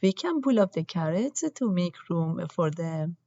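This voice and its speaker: A plaintive, anxious small child from a picture book, played by a woman reading aloud. The words come slowly, with slightly dull, lo-fi sound. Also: fairly low pitch, lively intonation, warm tone. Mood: eager.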